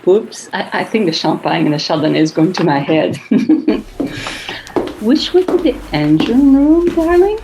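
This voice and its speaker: Radio play party guest, female actor: mid-pitched, slow, giggly, tipsy and flirtatious. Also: animated, a little mellow, slight background noise, low-quality recording. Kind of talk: radio drama acting.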